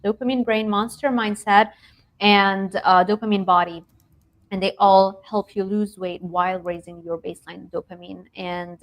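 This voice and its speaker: A woman from Lebanon in her thirties, guest in a casual video-call interview, talking in an animated way with frequent laughs and restarts. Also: mid-range voice. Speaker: guest nutrition researcher.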